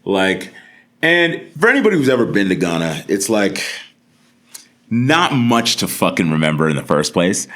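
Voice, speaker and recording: A man's voice: sits low, very animated, normal speed, fairly bright tone. A man from the United States, a podcast guest telling casual comedic stories with profane, slangy exaggeration.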